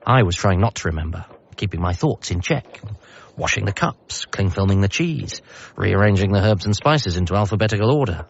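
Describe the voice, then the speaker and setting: A man's voice: deep voice, animated, a little fast, gravelly, muffled recording, slight background noise. An actor playing an anxious, self-deprecating British doctor grieving his father, narrating a radio comedy drama in a hesitant, wry way. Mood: wistful. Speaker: narrator and lead character.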